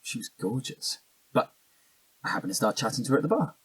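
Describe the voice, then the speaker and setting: A man's voice: medium pitch, big pitch swings, brisk, husky, no echo, distant mic, poor audio. An actor playing an evasive, defensive young British man in a naturalistic audio drama, hesitant and slangy. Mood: reminiscing, evasive.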